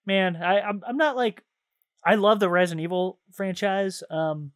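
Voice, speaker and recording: Male podcast host, slightly high voice, loose and slangy gaming chat, easily amused. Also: moderate pace. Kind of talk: casual chat about video games.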